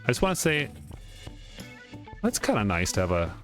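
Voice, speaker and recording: A man's voice: fairly low pitch, huge pitch swings, normal speed, a bit bright. A man playing a game, reading its text aloud and breaking off for informal asides as he comments. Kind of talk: let's play commentary with dialogue read aloud. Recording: music behind the voice.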